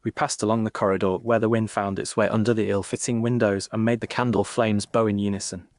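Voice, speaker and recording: A man with a fairly low voice narrates an audiobook mystery. His delivery is measured and formal, literary in style, with a very dry studio-like sound. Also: little pitch movement, no room sound. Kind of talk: scripted literary narration in first person.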